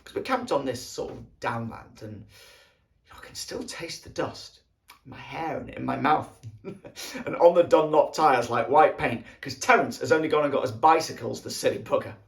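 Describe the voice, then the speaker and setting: A man playing a wistful British soldier of the First World War, reminiscing tenderly in an earthy, halting stage monologue. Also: mid-range voice, gravelly, lightly acted. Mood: amused, animated. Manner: colloquial, earthy, halting storytelling. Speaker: actor performing an audition monologue.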